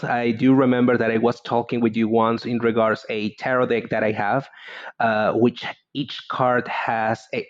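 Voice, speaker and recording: A man's voice: medium pitch, no echo, dull audio. A man from Venezuela with a Spanish accent, a guest in casual conversation, often hesitating and searching for words. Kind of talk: casual chat.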